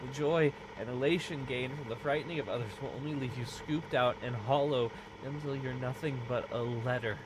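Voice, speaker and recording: A man's voice: mid-range voice, normal speed, few pauses, background noise, natural voice. A man playing a grave, earnest figure who gives an emphatic, solemn warning in a horror audio drama. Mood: solemn, urgent.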